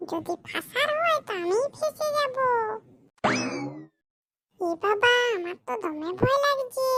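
A performer with a very high voice playing a nervous young man in a cartoon who stole a goat and rambles out excuses, in a noisy recording. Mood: worried. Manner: rambling, pleading. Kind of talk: cartoon comedy dialogue.

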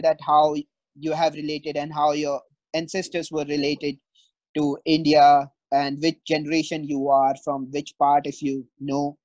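A young man asking interview questions over a video call, halting with fillers. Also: on the high side, a little mellow, no echo. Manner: halting, many fillers and restarts. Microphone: video call webcam. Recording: dull dry video call audio.

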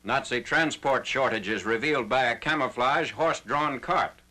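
A man's voice: mid-range voice, normal speed, pushed and bright. A man reading a scripted 1940s military newsreel narration in a formal, factual manner. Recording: noisy 1940s newsreel soundtrack.

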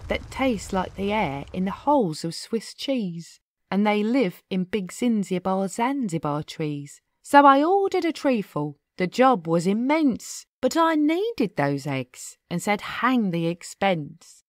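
A woman narrating a rhyming children's story aloud in an expressive, deliberate, rhythmic way. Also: medium pitch, no echo, studio quality. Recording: music behind the voice. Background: music.

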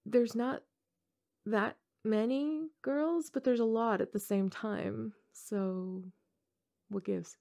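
Casting: a woman to play a college-age tomboy gamer girl for intimate ASMR roleplay; teasing, slangy, casual, with noticeable vocal fry. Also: a little high, steady tone, takes it slowly, mellow. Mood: playful, relaxed. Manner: casual, slangy, teasing, frequent chuckles and hesitations.

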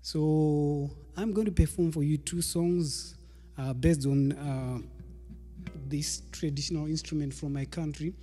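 A man in his thirties, calmly introducing his music set into a stand microphone in a small studio room. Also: a little high, a little slow, in a large hall. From Zimbabwe. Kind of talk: spoken introduction to a live performance. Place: small indoor studio room with patterned wall hanging. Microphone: microphone on a stand in front of him.

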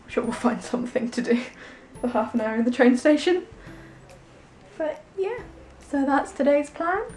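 A woman's voice: high voice, expressive, moderate pace, darkish tone, soft background music. A woman in her twenties with an English accent, chatting casually in a vlog on a handheld camera. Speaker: vlogger and dog handler. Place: kitchen, train and station platform. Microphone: handheld camera at arm's length.

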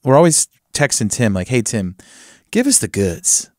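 A man's voice: mid-range voice, wildly swooping pitch, normal speed, a little mellow, dry recording, studio quality. A man hosting a podcast, chatting casually in an informal, self-deprecating way. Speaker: podcast host. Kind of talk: casual chat.

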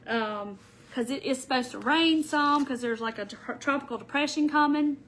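High-voiced lady, stuffy nose from a cold, chatty live craft stream from her craft room. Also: moderate pace, a bit bright, a little hiss or hum. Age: middle-aged. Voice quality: nasal. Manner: chatty, greets viewers by name as she goes. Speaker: craft show host. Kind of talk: casual livestream craft chat. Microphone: camera on the table in front of her.

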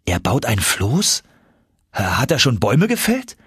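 A man voicing an excitable, doubting young male adventurer for an audiobook, questioning in astonishment, a little husky. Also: mid-range voice, a little slow, dry recording, clean studio sound, exaggerated voice. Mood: incredulous.

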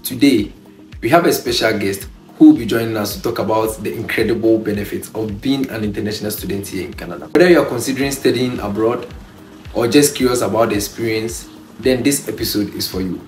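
A man's voice: on the low side, fairly quick, darkish tone. A young man hosting a show, giving a polite, formal scripted introduction from a couch. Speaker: channel host. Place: living room, on a couch. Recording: distant, roomy, faint music.

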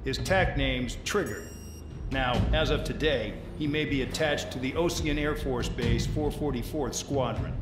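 An actor playing a contemptuous commander of a penal unit, giving a cynical, commanding military briefing. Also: male voice, mid-range voice, a little slow, lightly acted. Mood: scornful, smug. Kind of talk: scripted military briefing in a video game. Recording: roomy echo, loud dramatic music.

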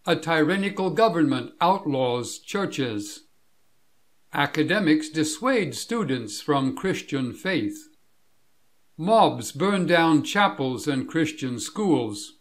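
Slow, higher-pitched man reading scripted narration. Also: lively intonation. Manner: measured, deliberate reading.

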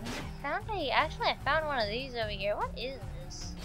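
A woman's voice: high voice, very animated, fairly quick, over music, rough recording. A woman hosting a let's play, rambling and giggling to herself as she plays, a bit congested from allergies. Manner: rambling, self-chatting, giggly. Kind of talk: casual gameplay commentary.

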